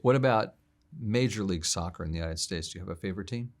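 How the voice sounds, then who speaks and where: A man interviewing a business guest, firing off brisk, quick prompts. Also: low-pitched. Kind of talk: interview questions.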